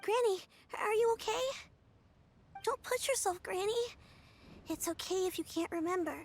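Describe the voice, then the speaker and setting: A woman voicing a tiny, excitable female fairy companion in a game, who talks about herself in the third person. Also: extremely high pitch, normal speed. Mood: eager. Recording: game audio with music behind.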